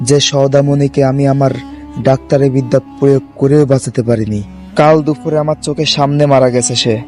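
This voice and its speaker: A man's voice: a little high, a little mellow, natural voice. A man acting a troubled village doctor who narrates his own ghost story in a scripted, suspenseful horror style. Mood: fearful.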